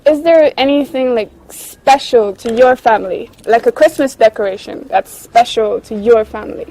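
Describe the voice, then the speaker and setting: A woman's voice: a little high, normal speed, dark tone, rough recording. A woman interviewing a guest, asking brief, prompting questions. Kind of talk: casual interview.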